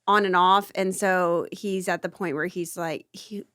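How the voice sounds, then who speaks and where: A woman's voice: on the low side, steady tone, measured pace, fairly bright tone, clean tone, no room sound, clean studio sound. A woman in her thirties, a mother and podcast co-host, chatting casually with easy laughter and rambling asides. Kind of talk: casual chat.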